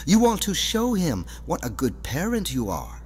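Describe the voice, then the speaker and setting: A man's voice: fairly high pitch, huge pitch swings, normal speed. An actor playing a creepy villainous man in an audio drama, coaxing and condescending. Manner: smooth-talking, condescending, coaxing. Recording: long echoing reverb, background hum.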